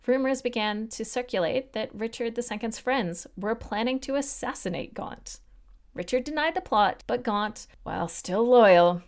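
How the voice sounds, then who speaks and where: A woman with a mid-pitched voice presents a history podcast as its host. She reads scripted narration in a clear explanatory manner and drops in casual, lightly wry asides.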